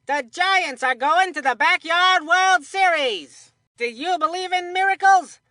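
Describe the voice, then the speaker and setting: An excitable little boy calls baseball for a cartoonish kids' game. The voice is very high and forceful, with a theatrical, earnest announcer delivery. The sound is dry and close. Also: dry recording, full character voice. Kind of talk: animated sports commentary for a kids' video game.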